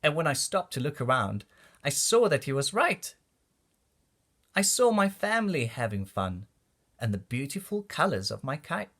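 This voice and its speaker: A man reading a rhyming children's storybook aloud in an expressive, deliberate way for young listeners. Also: fairly high pitch. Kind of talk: read-aloud storybook narration of rhyming verse.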